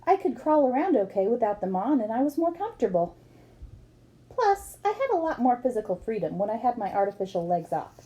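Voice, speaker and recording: A woman's voice: fairly high pitch, big pitch swings, brisk, warm tone. A woman reading a book aloud expressively, as for children, acting up the dialogue. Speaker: book reader.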